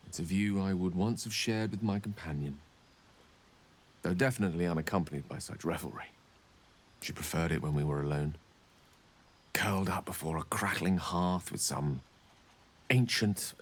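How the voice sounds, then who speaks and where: Eloquent, low-voiced, wistful wizard. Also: male voice, slightly rough. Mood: wistful, fond. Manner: eloquent, theatrical, florid phrasing. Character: wistful, scholarly male wizard companion, eloquent and self-deprecating. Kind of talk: video game character dialogue.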